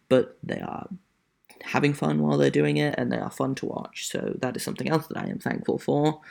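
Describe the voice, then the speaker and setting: A man's voice: medium pitch, creaky. A man hosting a solo sports podcast in a casual, dry and wry way, with an accent that is not American. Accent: non-American. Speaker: podcast host.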